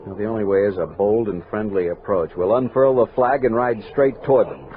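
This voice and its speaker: A man's voice: on the low side, brisk, narrow, tinny audio, natural voice. Man acting resolute frontier captain, thin old-radio sound. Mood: resolute, urgent. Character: resolute, watchful army captain leading a frontier expedition. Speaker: narrator and lead character. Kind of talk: 1960s western radio drama acting, first-person narration. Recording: noisy, band-limited 1960s radio recording.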